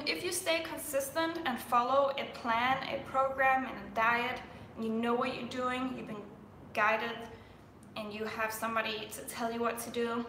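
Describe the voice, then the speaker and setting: Young danish fitness girl rambling live, lots of likes, bright voice, faint hiss in the room. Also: fairly high pitch, echoey room, rough recording. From Denmark. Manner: chatty, filler-heavy, restarts often. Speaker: fitness coach. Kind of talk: live Q&A stream.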